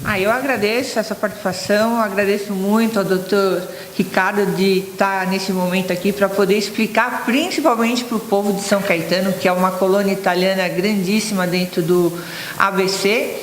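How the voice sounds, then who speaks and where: A woman's voice: mid-range voice, steady tone, brisk, a little hiss or hum. Polite woman in an echoey room. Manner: polite, courteous. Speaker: interview guest, citizenship specialist. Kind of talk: studio TV interview.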